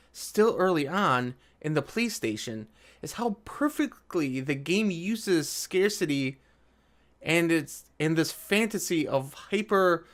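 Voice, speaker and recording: Higher-pitched man doing sarcastic commentary. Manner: sarcastic, argumentative asides. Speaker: video host and commentator. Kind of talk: casual reaction commentary while reading an article aloud.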